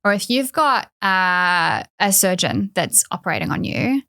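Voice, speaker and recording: Kiwi woman guest on a podcast, earnest, says like a lot, middle pitch. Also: very animated, unhurried, sharp tone. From New Zealand. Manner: earnest, laughs often, frequent "like". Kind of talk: conversational interview.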